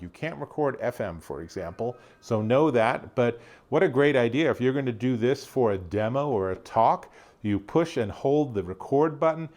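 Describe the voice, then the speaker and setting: A man's voice: medium pitch, a little mellow. A man giving a casual, conversational product demonstration as an enthusiastic tech reviewer.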